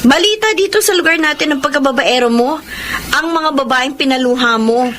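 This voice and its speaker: High-voiced snooty young lady. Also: fairly quick, a bit bright, slight background noise. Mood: disdainful. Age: young adult. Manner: haughty, blunt. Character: snooty young woman coolly rebuffing a suitor. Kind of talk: Filipino radio drama acting.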